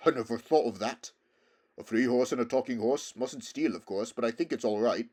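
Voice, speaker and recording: A man's voice: mid-range voice, fairly quick, a little mellow, lightly acted. A man voicing a proud, worldly talking horse in a storybook, with a pompous, emphatic, lecturing manner. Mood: lofty, matter-of-fact. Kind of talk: storybook character voice.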